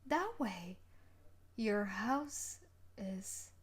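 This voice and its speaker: A woman's voice: medium pitch, lively intonation, extremely slow, slightly rough, full character voice. A woman playing a sadistic, possessive young student bully in an intimate audio roleplay, taunting and menacing, slipping into whispers.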